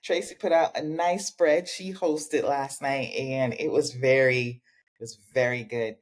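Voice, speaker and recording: A woman hosting a casual talk show with a co-host, chatting animatedly and swearing freely, from Rhode Island. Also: unhurried. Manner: animated, swears casually, big pitch jumps. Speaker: podcast host. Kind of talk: casual chat.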